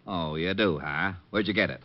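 An actor playing an exasperated, suspicious police lieutenant, blunt and impatient, in a vintage radio drama. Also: male voice, sits low, moderate pace, close to an everyday voice. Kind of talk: 1950s radio drama acting. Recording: dull old radio recording.

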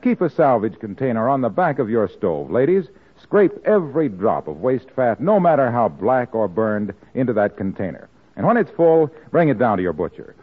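A man's voice: medium pitch, sing-song, normal speed, slightly rough. A man working as a 1940s radio announcer, selling with an emphatic, scripted delivery. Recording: tinny, narrow-band old radio recording.

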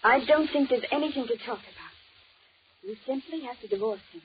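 An actress in a 1940s radio drama playing a stubborn, hot-tempered young romantic rival with a petulant, insistent manner. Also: female voice, high-pitched, fairly quick, lightly acted. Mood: stubborn, defiant. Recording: muffled, noisy 1940s radio recording.

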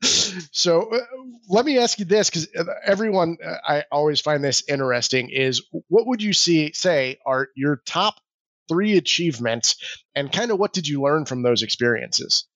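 A man's voice: a little high, expressive, normal speed, a bit bright, no room sound, highs cut off. A man hosting a podcast, chatting informally and easily as he asks questions. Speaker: podcast host. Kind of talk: casual interview chat.

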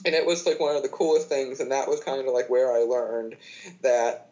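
A man's voice: medium pitch, level tone, moderate pace, mellow, muffled recording. A man in his twenties, a podcast guest, talking casually with lots of fillers and false starts. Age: 20s. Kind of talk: casual chat.

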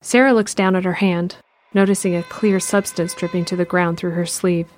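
Lowish-voiced woman narrating over music. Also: steady tone, moderate pace. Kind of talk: scripted audio drama narration. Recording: music behind the voice.

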